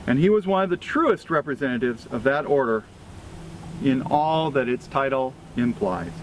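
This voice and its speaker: A man with an American accent reading a formal obituary tribute aloud in a deliberate, solemn way, pausing between phrases. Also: mid-range voice, expressive, rough recording. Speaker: freemason reader at a memorial event. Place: outdoors at a cemetery event. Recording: clear ambient outdoor noise with vehicles.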